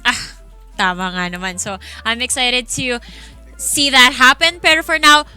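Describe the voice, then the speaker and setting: A woman's voice: high voice, animated, normal speed, pushed and bright, clear, resonant tone. A woman with a Filipino accent hosting a live esports broadcast in an upbeat, hype presenter style, switching between two languages. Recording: music behind the voice.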